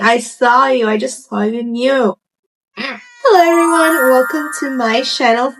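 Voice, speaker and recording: High-voiced woman, chatty storyteller. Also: low-quality recording. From Puerto Rico. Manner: animated, self-interrupting, conversational asides. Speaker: host of a storytime video channel. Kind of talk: casual chatty storytelling to camera.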